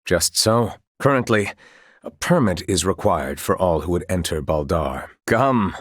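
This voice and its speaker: A man's voice: sits low, animated, unhurried, breathy edge, no echo, studio quality, exaggerated voice. A man playing a composed, proud knight commander of royal blood in a video game, speaking in formal, archaic, courtly phrases. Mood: calm, formal.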